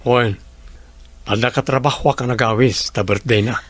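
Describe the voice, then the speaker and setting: A man's voice: medium pitch, steady tone, moderate pace, fairly bright tone, dry recording, lightly acted. A man with an Ilocano accent acting an evasive, sweet-talking unfaithful husband in a radio drama. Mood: defensive.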